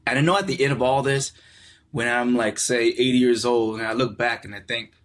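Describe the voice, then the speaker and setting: A middle-aged man from California, a podcast guest talking in a relaxed, reflective way and often repeating words as he searches for them. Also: medium pitch, a little fast, a little hiss or hum. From California, USA. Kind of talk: casual conversational interview.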